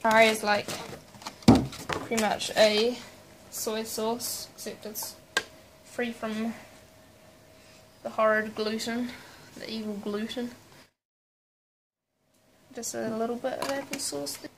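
A woman's voice: a little high, level tone, slow, pauses often. A young woman in her twenties hosting a casual home cooking vlog, chatty and playful with self-mocking asides, filmed up close in a small kitchen at night. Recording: noisy home kitchen recording. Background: loud clatter of pots, cutlery and dishes.

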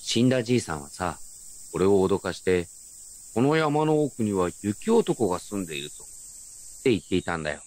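A man playing a gentle, nostalgic grown man who narrates his memories in a reflective, unhurried radio drama style. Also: lowish voice, sing-song, dry recording. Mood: friendly, musing. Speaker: narrator and lead actor. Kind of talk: first-person radio drama narration with character dialogue.

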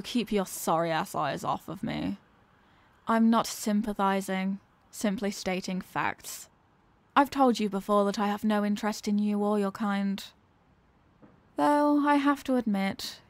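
A woman's voice: mid-range voice, no room sound, lightly acted. A woman voicing a dominant, mocking female villain in an ASMR roleplay, with a condescending, teasing, theatrical manner. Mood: indignant, then seductive, coaxing.

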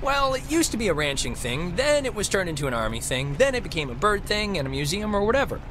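A man's voice: high-pitched, very animated, quick pace, noisy background. An American actor playing a goofy, irreverent teenage boy who rambles and jokes in naturalistic video game dialogue. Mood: flippant, chatty. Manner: rambling, jokey, flippant.

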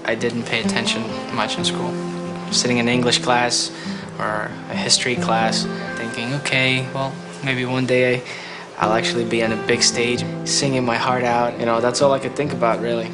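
A man's voice: medium pitch, level tone, normal speed, hardly any pauses, poor audio. A young man from Ukraine who grew up near Philadelphia, speaking reflectively in an interview as a singing contestant. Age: young adult. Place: indoor room interview. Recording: music under the voice. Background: music.

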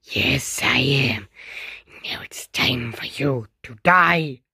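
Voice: medium pitch, slow pace, gravelly, sharp tone, breathy edge, voice pushed down, heavily acted. A performer voicing a murderous prehistoric crocodile monster in a homemade dinosaur story, menacing, gloating and taunting. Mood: cold menace.

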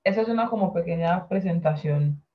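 A woman whose first language is San Andrés Creole, teaching an online class and slowly explaining and translating each word. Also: on the low side. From San Andrés Island, Colombia. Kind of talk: online class over a video call. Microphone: video call. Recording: dull lo-fi video call audio.

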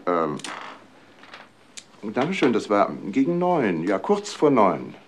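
Middle-aged male doctor, crime radio drama: indignant, hesitant, very animated intonation, slight background noise. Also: on the low side, takes it slowly. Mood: uneasy, evasive. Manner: hesitant, polite, evasive.

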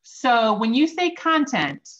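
Slow, lively-toned woman host. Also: on the high side, lo-fi sound. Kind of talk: interview questions.

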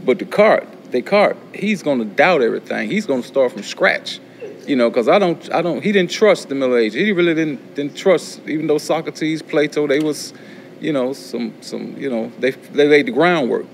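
A man's voice: fairly high pitch, lively intonation, normal speed, creaky, slight background noise. A man thinking aloud as a student in class, colloquial, repeating himself for emphasis. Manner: colloquial, emphatic repetition, frequent "you know". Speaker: philosophy student.

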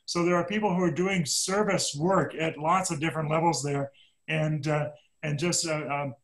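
A man moderating an alumni panel, earnest and measured, often restarting his sentences. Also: a little high, steady tone, low-quality recording. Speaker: panel facilitator.

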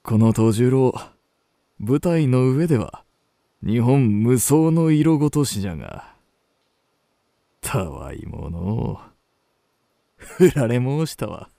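An aging kabuki star, ardent and scheming, in a period radio play. He draws out his theatrical, archaic lines slowly with a creaky grain. The voice is recorded dry and close. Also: mid-range voice, big pitch swings, dark tone, no room sound, exaggerated voice. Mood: composed, wry. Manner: theatrical, archaic stage Japanese phrasing. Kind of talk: period radio drama acting.

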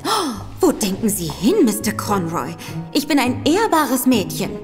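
High, coy young lady. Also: big pitch swings, a little slow, music in the background. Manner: coy, polite, teasing. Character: coy, sly young woman feigning innocent servant-girl respectability. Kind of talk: audio drama acting.

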